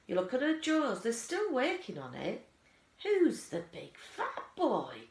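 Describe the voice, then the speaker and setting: A woman voicing excited, gossiping onlookers in a storybook crowd, exclaiming in scandalised tones. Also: a little high, slow pace, pitched above the performer's own voice, heavily acted. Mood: excited, gossipy. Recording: roomy echo.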